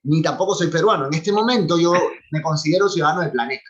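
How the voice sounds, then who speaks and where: A man's voice: fairly high pitch, quick pace. A man from Cuba with a Cuban accent, chatting on a video call, talkative and opinionated, often laughing as he talks. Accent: Cuban Spanish. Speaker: former cultural animator. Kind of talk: casual chat on a video call. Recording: dull lo-fi video call audio.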